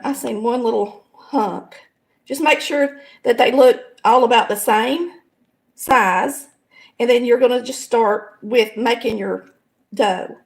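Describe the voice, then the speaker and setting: Raspy Southern woman. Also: fairly high pitch. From American South. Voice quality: raspy, hoarse voice. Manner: folksy, chatty, explains step by step. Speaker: home cooking show host. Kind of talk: casual cooking demonstration. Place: home kitchen.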